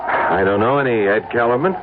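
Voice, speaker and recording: An actor playing a curt, evasive, cornered husband in an old-time radio drama. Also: male voice, mid-range voice, a little fast, lightly acted. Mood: guarded. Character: irritable, cornered husband paying off a blackmailer. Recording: muffled, noisy vintage radio broadcast.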